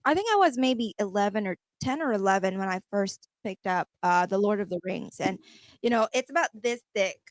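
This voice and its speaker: A woman's voice: medium pitch, animated, brisk, no echo. A woman chatting on a radio panel, telling stories in an emphatic, talkative way. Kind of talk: casual radio chat.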